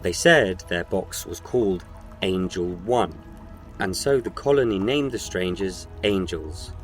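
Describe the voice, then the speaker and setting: A man narrating a scripted story as a solemn, measured storyteller. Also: low voice, soft background music.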